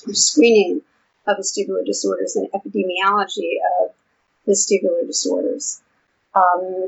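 An older woman with a New York accent, explaining her research in a relaxed interview with frequent ums. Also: medium pitch, vocal fry, breathy edge. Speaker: vestibular researcher and therapist. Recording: dull lo-fi audio.

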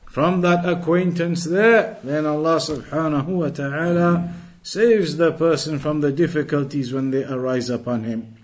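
A man with a high voice teaches a religious lesson. He reads from a text and explains it deliberately, going back over phrases to stress them. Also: steady tone. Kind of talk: Islamic lesson, reading Arabic text and explaining it in English.

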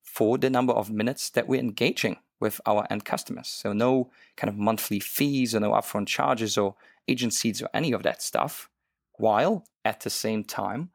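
A man's voice: lowish voice, moderate pace, gravelly, dry recording, clean studio sound. A man from Luxembourg co-hosting a casual tech podcast, talking with enthusiasm and lots of fillers and restarts. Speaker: co-host, developer advocate.

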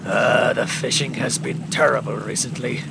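A man playing a gloomy, discouraged fisherman in an audio drama, with a weary, creaky, hesitant delivery. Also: medium pitch, steady tone, normal speed. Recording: very loud boat and water noise.

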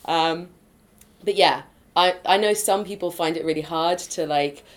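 A woman with a nasal, projecting voice, chatting excitably as a podcast guest, full of fillers and quick laughs. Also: on the low side. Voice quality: loud, projecting voice. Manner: bubbly and excitable, laughs often, many fillers. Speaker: podcast guest, improv performer. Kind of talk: casual podcast interview.

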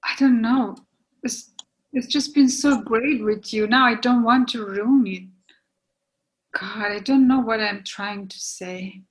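A woman's voice: fairly high pitch, slow, rough recording. A woman playing a guarded, apologetic young woman who is torn and hesitant, in a readers theatre reading. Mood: uneasy. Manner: hesitant, regretful.

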